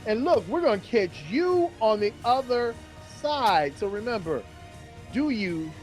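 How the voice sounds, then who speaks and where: Playful man co-hosting, higher voice over music. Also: big pitch swings, unhurried. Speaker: sports show co-host. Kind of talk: casual sports banter.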